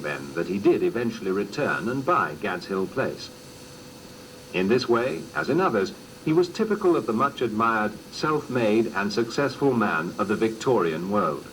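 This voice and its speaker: A man reading scripted documentary narration in a measured, formal storytelling manner, on an old cassette recording. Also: on the low side, a bit bright, a little hiss or hum, low-quality recording.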